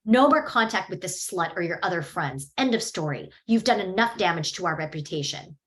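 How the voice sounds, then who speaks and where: An uptight, domineering father in his forties gives cold, clipped orders, read aloud by a woman with a lowish voice. His tone is commanding. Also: expressive, brisk, fairly bright tone. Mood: harsh, commanding.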